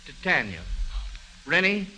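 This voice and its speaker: A man's voice: a little high, big pitch swings, measured pace, sharp tone, rough recording. A man hosting a 1940s radio quiz for children, conversational, folksy and avuncular. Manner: folksy, chuckling, repeats answers, avuncular toward children. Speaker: radio quiz show host. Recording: vintage radio broadcast, faint noise.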